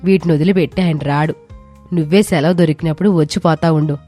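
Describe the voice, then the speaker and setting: A woman voicing a gentle, understanding village mother in scripted story dialogue. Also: deep voice, steady tone, fast talker, soft background music, voice pushed down. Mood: earnest, soothing.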